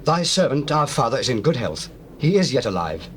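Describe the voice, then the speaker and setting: An actor with a husky voice playing an anxious, humble Hebrew spokesman, protesting earnestly in dramatized scripture. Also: mid-range voice, very animated, brisk, slight background noise, close to an everyday voice. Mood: respectful. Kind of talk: dramatized scripture, stage acting.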